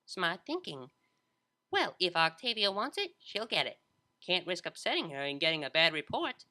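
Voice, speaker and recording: Animated, distinguished older mare played by a woman. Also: lowish voice, moderate pace. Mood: cheerful. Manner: pleasant, composed, authoritative. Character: friendly, distinguished older pony mare university official.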